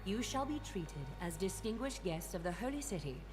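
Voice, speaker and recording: A woman's voice: mid-range voice, big pitch swings, moderate pace, background noise, lightly acted. A woman voicing a serene, gracious seer of a holy city, speaking formal, poetic fantasy game dialogue.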